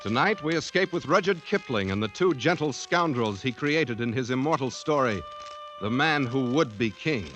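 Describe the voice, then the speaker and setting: A man announcing a 1950s radio drama in a measured, declamatory style. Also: medium pitch, expressive, poor audio. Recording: vintage radio broadcast over loud music.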